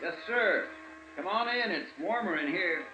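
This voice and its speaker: An American actor playing a tired, amiable middle-aged lawyer with a rambling, folksy manner in a vintage radio drama. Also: male voice, high-pitched, animated, a little slow, sharp tone, faint music behind, exaggerated voice. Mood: welcoming. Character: tired, seedy, affable male lawyer about forty. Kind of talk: 1950s radio acting. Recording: muffled vintage radio recording.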